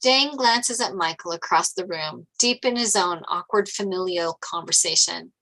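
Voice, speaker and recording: A woman narrates a script at a table read, reading out scene headings and action. Her voice sits on the low side, bright and forceful, with audible fry. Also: moderate pace, poor audio. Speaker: narrator reading stage directions.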